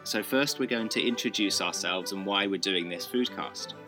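Deep-voiced man, explaining calmly. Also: over music. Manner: measured, explanatory, many restarts. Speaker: co-host. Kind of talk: casual chat.

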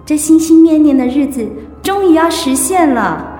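An actress with a Taiwanese accent playing an upbeat, teasing wife in recovery from illness in a radio drama, playful and reassuring. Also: female voice, sits high, lively intonation, moderate pace, dark tone, soft background music. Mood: hopeful, self-encouraging. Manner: playful, reassuring, chiding her husband. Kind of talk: Mandarin radio drama acting.

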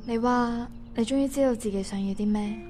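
Woman acting composed ex first love, cantonese radio play, mellow voice, bit high, quietly hurt, probing. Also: a little fast, lightly acted. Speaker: voice actor playing the first love. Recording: music under the dialogue.

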